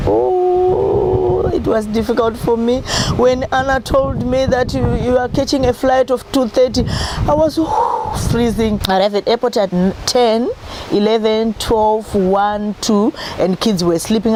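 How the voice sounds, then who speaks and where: A woman who leads a youth group, telling a travel story in a documentary interview. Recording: noisy setting with background rumble.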